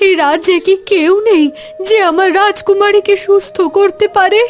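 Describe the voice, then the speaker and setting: A woman's voice: very high voice, fairly even intonation, normal speed. A woman voicing a distraught, pleading queen in a dramatic fairy-tale cartoon. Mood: desperate pleading.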